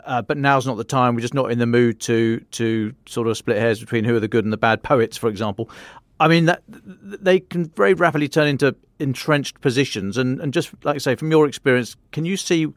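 A male podcast panellist puts an informal question. His voice is fairly high for a man, with a dry, close sound, and he chats politely, reworking phrases as he talks. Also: no room sound. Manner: chatty, polite, rephrases as he goes.

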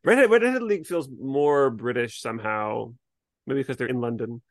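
A man's voice: mid-range voice, animated, moderate pace, dry recording, studio quality. A man co-hosting a podcast, talking through a review in a casual, conversational way. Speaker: podcast co-host.

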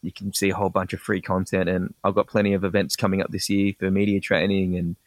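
Australian man, low voice, dry close sound, enthusiastic podcast guest, restarts his phrases. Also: level tone, no echo. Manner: quick, enthusiastic explainer with frequent restarts. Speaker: guest, personal branding expert. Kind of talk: casual podcast interview.

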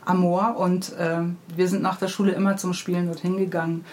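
A woman's voice: lowish voice, flat delivery, a little fast, a little mellow, slight background noise. A middle-aged woman, telling anecdotes reflectively as an author being interviewed. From Hamburg, Germany. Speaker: guest, children's book author. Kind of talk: conversational interview storytelling.

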